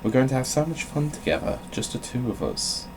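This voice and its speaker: A man's voice: mid-range voice, animated, brisk, noisy background. A man hosting with a dry, self-mocking manner, moving between theatrical storybook reading and casual, sweary rambling. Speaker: host of a gaming channel.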